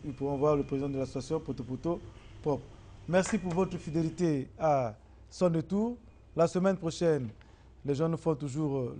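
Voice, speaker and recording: A man with a Congolese accent hosting a talk show and wrapping up for viewers in a halting, hesitant way, often repeating words. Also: a little high, mellow. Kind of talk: spoken show wrap-up to viewers.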